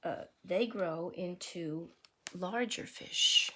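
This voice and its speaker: Slow-paced woman reading aloud to children. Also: on the low side, bright, forceful tone. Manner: deliberate, explanatory, pausing to question young listeners and define words. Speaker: teacher reading a picture book aloud to children. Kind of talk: read-aloud of a rhyming picture book with teaching asides and questions.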